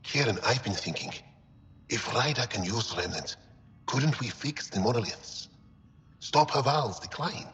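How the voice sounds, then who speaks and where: An actor playing a jumpy, suspicious alien field researcher in a video game, blunt and excitable. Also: male voice, medium pitch, unhurried. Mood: earnest. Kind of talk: cinematic video game acting.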